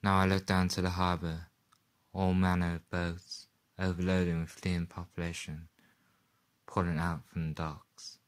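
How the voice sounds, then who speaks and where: A grave, low-voiced male radio reporter facing doom, played by a man. He speaks in terse, halting phrases, as an eyewitness in a radio drama. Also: level tone, a little hiss or hum. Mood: grim resolve.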